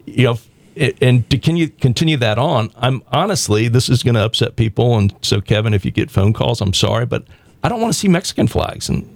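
A man from the American South hosting a talk show, speaking bluntly and provocatively with halting restarts. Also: mid-range voice, lively intonation, gravelly, dry recording. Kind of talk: opinionated radio talk.

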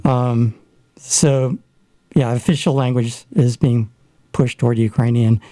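A man chatting in a casual discussion, his speech halting and full of restarts. His voice is dark and mellow, with a middle pitch. The recording is dry and close. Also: steady tone, no echo. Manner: halting, many fillers and restarts. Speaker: guest discussing Ukraine.

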